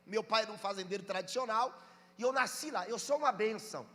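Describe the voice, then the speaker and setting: A Brazilian pastor from Bahia with a Bahian accent, preaching a sermon, reading scripture slowly and calling on the congregation to answer. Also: male voice, high-pitched, pushed and bright, long reverb tail. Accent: Bahian Brazilian Portuguese. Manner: reads scripture slowly and drawn out, urges listeners to respond.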